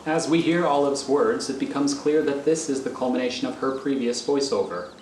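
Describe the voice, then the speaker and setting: A man reading scripted scene directions as narrator, in a deliberate storytelling manner. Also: medium pitch, a little mellow. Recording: echoey room with faint noise.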